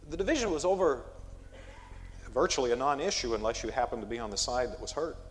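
A man preaching an expository sermon from a pulpit, measured, with emphatic rises on key words. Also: mid-range voice, animated, long reverb tail, poor audio. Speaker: pastor. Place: church sanctuary.

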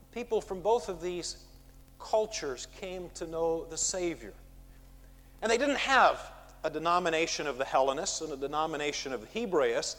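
Male preacher in reverberant sanctuary. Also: fairly high pitch. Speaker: pastor. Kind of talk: expository sermon. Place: church sanctuary.

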